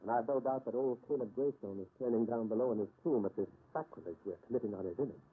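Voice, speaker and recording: An actor playing a confident, soothing criminologist in an old-time radio drama, speaking in an articulate, theatrical and reassuring way. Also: male voice, medium pitch, fast, slightly rough, lightly acted. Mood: brisk, assured. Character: confident, soothing male criminologist investigating eerie mysteries. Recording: muffled vintage radio broadcast.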